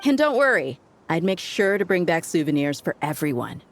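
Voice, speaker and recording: A woman's voice: fairly low pitch, sing-song, normal speed. A woman voicing a brash, easygoing mercenary with a casual, slangy swagger in a video game. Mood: sheepish coaxing. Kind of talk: video game cutscene acting.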